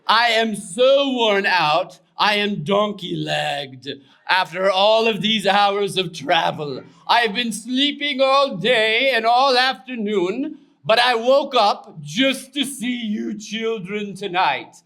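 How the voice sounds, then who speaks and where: A man voicing a pompous donkey puppet who tells stories to children in a deliberate, theatrical manner. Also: sits high, bright, forceful tone, full character voice. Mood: pompous, worn out. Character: grandiose weary old-fashioned gentleman donkey storyteller puppet. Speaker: puppet show storyteller.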